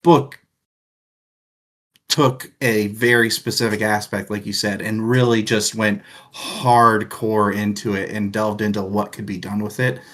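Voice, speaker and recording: A man's voice: lowish voice, level tone, a little slow, a bit bright. A man co-hosting a casual book discussion, talking in a rambling, thoughtful way with plenty of filler words. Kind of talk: casual chat.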